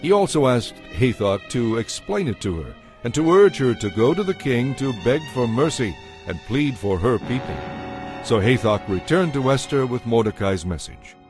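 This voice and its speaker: Male narrator, medium pitch, solemn storytelling, scripted Bible drama, clear background music. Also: very animated, normal speed.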